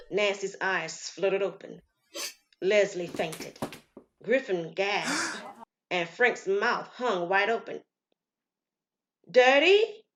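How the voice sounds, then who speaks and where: A woman reading a dramatic scripted story as narrator, solemn and deliberate. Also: mid-range voice, fairly bright tone, a little hiss or hum. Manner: solemn, deliberate, acts out dialogue. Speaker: storyteller and writer-performer.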